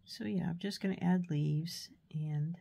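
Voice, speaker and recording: A woman with a stuffy nose from a cold, giving a relaxed, chatty painting tutorial with fillers and soft asides. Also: fairly low pitch, a little slow, creaky. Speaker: art tutor.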